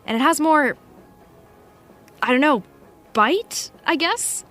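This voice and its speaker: A woman's voice: high voice, lively intonation, slow, a bit bright, soft background music, exaggerated voice. A woman playing a shrewd, opinionated TV colleague with a pointed, sarcastic manner, in a naturalistic audio drama. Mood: frank, measured.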